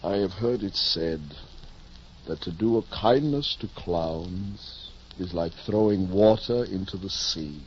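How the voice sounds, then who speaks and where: An actor playing a deluded, pompous ageing knight-errant in 1950s radio drama, speaking in lofty, archaic oratory. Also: male voice, deep voice, slow, a little mellow. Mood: resigned, dignified. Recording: muffled, noisy old radio recording.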